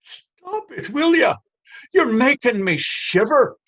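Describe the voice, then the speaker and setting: A man's voice: extremely high pitch, very animated, slow, fairly bright tone, exaggerated voice. An actor playing a bullying, sceptical Dublin policeman in a stage reading, mocking and officious. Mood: dismissive. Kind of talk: stage readers theater acting.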